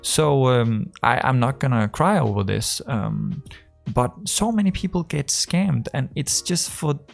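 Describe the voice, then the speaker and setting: Gravelly Danish-accented man rambling. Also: mid-range voice, huge pitch swings, a little slow, faint music behind. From Denmark. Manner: rambling, full of fillers and restarts, acts out conversations. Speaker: trading coach. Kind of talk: casual talk to camera.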